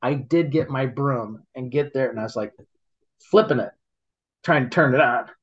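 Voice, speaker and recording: A man telling stories in casual chat, halting and rambling, laughing often as he goes. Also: mid-range voice, husky, lo-fi sound. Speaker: guest, local business owner.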